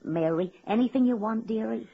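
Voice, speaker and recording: An actress playing a folksy, good-hearted woman in a radio comedy-drama, husky and plain-spoken. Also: fairly high pitch, brisk, a little hiss or hum. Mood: rueful, consoling. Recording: muffled old radio recording.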